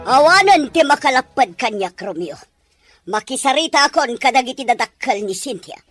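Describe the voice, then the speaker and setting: A woman's voice: high-pitched, animated, normal speed, a bit bright, faint music behind. A woman playing a haughty, scornful rich mother who scolds imperiously in a melodramatic audio drama. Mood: scheming, angry. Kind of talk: melodramatic radio-style drama acting.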